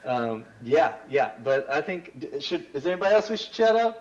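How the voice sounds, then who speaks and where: A man's voice: a little high, fairly quick, highs cut off. Slangy, eager male guest. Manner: enthusiastic, relaxed slangy speech. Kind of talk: casual chat.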